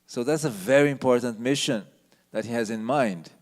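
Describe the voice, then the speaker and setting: A man with a low voice giving an emphatic lecture on a handheld mic, asking the audience questions as he goes through slides. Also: normal speed. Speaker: visiting speaker giving a temple talk. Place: temple hall with an audience.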